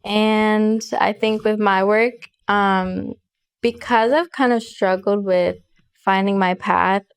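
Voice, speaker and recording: A woman's voice: medium pitch, steady tone, takes it slowly, smooth. A young woman from Chicago, a college student guest, speaking thoughtfully with hesitant ums. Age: young adult. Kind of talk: casual interview.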